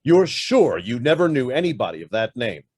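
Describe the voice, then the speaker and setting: A man acts out a middle-aged businessman in a radio drama. He is curt and demanding, interrogating with guarded suspicion. His pitch swings widely and his voice has a husky grain. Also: sing-song, moderate pace, a little mellow. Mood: uneasy, probing. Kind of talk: stage-style radio drama acting.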